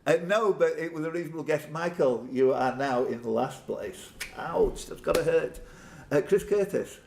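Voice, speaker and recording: A man's voice: on the high side, moderate pace, darkish tone. A man hosting a live pub quiz, reading out questions and bantering with players by name. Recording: clear background noise, live room. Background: room ambience and noise.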